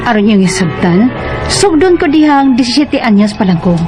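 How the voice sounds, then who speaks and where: A woman with a Filipino accent playing a young wife in an expressive radio drama. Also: on the high side, moderate pace, over music. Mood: earnest, narrating. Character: young wife Aya, telling her life story.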